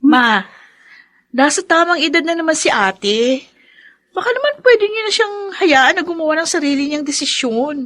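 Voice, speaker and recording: A woman's voice: sits high, big pitch swings, moderate pace, fairly bright tone. A woman playing a loyal, supportive younger sister in a Filipino family drama, a young adult with a reasoning manner. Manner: reasoning, encouraging, defensive of her sibling.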